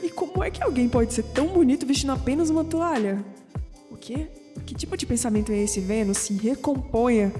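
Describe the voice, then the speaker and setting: An actress playing a young, proud, skeptical woman in an audio drama, with a Brazilian accent and an expressive delivery that turns hesitant when flustered. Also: high voice, brisk, faint music behind, close to an everyday voice. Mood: embarrassed, self-scolding. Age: young adult.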